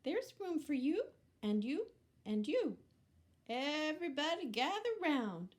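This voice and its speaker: A woman's voice: sits high, sing-song, slow pace, voice pushed up, exaggerated voice. A woman voicing a kind, welcoming little truck in a picture book. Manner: warm, welcoming.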